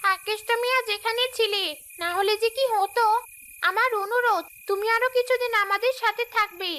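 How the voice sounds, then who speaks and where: A woman's voice: extremely high pitch, steady tone, moderate pace, pushed and bright, slight background noise. A woman voicing a trusting, anxious village mother in a cartoon story, earnest and melodramatic. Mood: grateful, hospitable. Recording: music bed under the dialogue.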